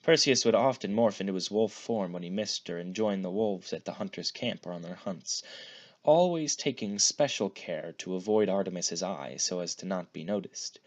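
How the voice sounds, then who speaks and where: A man reading fan fiction aloud as an expressive narrated story. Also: deep voice, normal speed. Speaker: fan fiction podfic reader.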